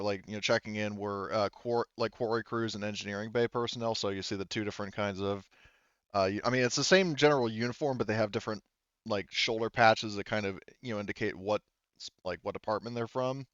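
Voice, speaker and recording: Low-voiced, halting male game master. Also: fairly even intonation, fairly bright tone, smooth, no echo, lo-fi sound. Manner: hesitant, halting delivery. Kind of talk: improvised narration of a tabletop game.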